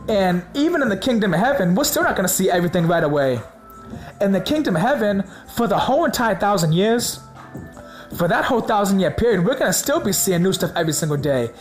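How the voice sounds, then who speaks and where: A man preaching in a casual, slangy way, hoarse from a sore throat, excited and repeating words for emphasis. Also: sits high, fast, soft background music. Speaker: religious video host.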